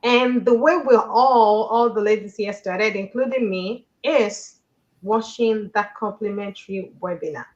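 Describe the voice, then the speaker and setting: A woman's voice: mid-range voice, unhurried, a little mellow. Upbeat persuasive woman, lo-fi sound. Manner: upbeat persuasive, repeats phrases for emphasis. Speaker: business coach on a podcast panel. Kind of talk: motivational pitch.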